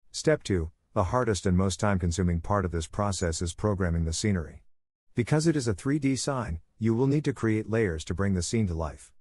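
A man narrating a scripted how-to voice-over in an even, promotional read. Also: deep voice, no room sound.

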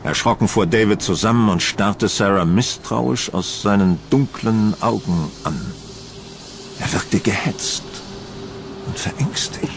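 A man narrating a horror audio drama in a dramatic, deliberate storytelling manner, as a brooding gothic storyteller. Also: lowish voice, noisy background. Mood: suspenseful.

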